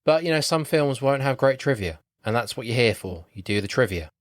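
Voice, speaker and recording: A man's voice: mid-range voice, animated, a little fast, no room sound, studio quality. A man co-hosting a podcast in casual banter, grumbling and rambling as he talks. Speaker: podcast co-host. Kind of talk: casual film chat with banter.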